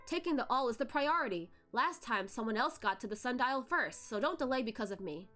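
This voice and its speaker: A woman voicing a weary, brash, sharp-tongued man in a novel reading, casual and blunt. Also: on the high side, expressive, fairly quick, faint music behind. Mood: reassuring, brash.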